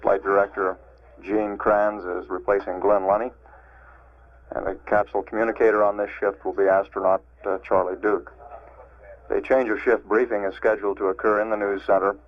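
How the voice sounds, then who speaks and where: An American man giving measured official spaceflight status commentary as a mission control announcer, matter-of-fact and halting, over an archival radio transmission. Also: on the low side, level tone. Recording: narrow-band, phone-like archival radio transmission.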